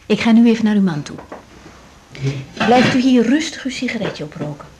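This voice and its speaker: High-voiced, tactful young woman doctor played by an actress. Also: lively intonation, background noise, lightly acted. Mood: friendly, helpful. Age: young adult. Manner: careful, measured, reassuring explanations. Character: young female hospital doctor, patient, tactful, reassuring. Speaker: actor in a radio drama. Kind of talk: 1980s naturalistic Dutch radio drama acting.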